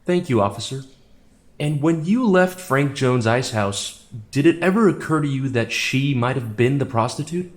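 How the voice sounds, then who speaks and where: Male actor as courtroom lawyer: medium pitch, formal, skeptical, probing. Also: animated, moderate pace, lightly acted. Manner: formal questioning. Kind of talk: courtroom audio drama.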